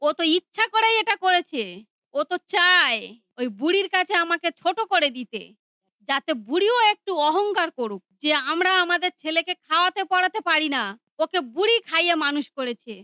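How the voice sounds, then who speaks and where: A woman's voice: expressive, moderate pace, sharp tone, no echo, heavily acted. A woman voicing a harsh, scolding mother and daughter-in-law in a cartoon. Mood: angry. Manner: shrill, scolding.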